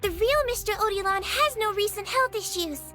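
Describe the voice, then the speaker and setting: A woman voicing a small, gentle nonhuman nurse girl in a video game, soft and caring yet matter-of-fact. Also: very high voice, normal speed, soft background music. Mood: firm. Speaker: head nurse.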